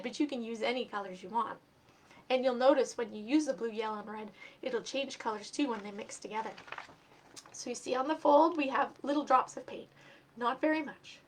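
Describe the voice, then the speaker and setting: A woman hosting a children's storytime, expressive and speaking directly to young listeners as she reads and explains step by step. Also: on the high side, a little hiss or hum. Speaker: storytime host and reader for children. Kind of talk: animated storybook reading and craft instruction for children.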